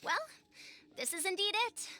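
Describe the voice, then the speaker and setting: A self-assured lady scholar in an anime game. Her high, bright voice sounds young, yet she phrases things precisely, like an old-fashioned elder. Her pitch moves with plenty of animation. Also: expressive, normal speed, soft background music, heavily acted. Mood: wry, superior. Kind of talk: anime video game voice acting.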